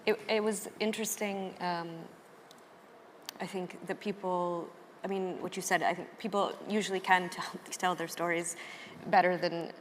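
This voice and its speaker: A woman's voice: fairly low pitch, fairly even intonation, moderate pace. An American woman journalist speaking conversationally on a panel, hedging and thinking aloud as she goes. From United States. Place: live panel event in a hall. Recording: echoey hall, faint background noise.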